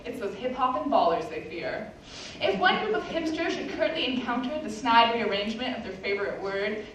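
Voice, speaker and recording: A woman's voice: a little high, a bit bright. Echoey, distant teenage girl reciting slam poetry. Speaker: amateur slam poet. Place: indoor live poetry slam, roomy space. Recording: very echoey room, distant mic, background noise.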